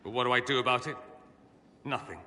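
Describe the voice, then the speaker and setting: A thoughtful male mage with a bright, crisp voice. He often sinks into a murmur as he muses. Long reverb and faint ambience surround him. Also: mid-range voice, fast talker. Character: earnest male mage, proud but reflective.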